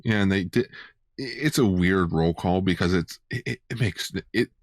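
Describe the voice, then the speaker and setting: A man's voice: sits low, moderate pace, no room sound, clean studio sound. A man co-hosting a podcast in casual fan chat, informal and sweary in his way of talking. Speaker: podcast co-host.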